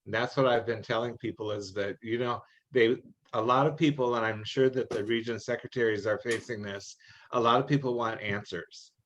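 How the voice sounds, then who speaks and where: A man's voice: mid-range voice, fairly even intonation, normal speed, fairly bright tone. A man talking informally in an online meeting, advising others, over dull call audio.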